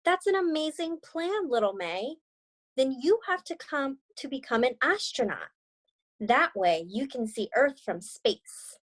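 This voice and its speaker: A young woman reading a children's storybook aloud on a video call, expressive and encouraging as she chats about it. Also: high voice, unhurried, poor audio. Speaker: read-aloud co-host, story reader.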